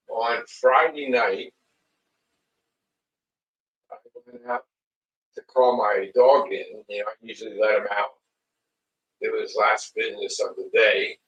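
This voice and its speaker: Distant, muffled low-voiced man, slightly raspy. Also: fairly bright tone. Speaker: livestream host. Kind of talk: casual livestream chat. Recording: muffled lo-fi audio, distant mic.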